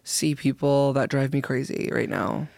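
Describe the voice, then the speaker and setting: Hoarse, joking podcast co-host. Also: female voice, rumbling low, normal speed, a little mellow, dry recording, studio quality. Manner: joking, slangy, rambling. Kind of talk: casual podcast chat.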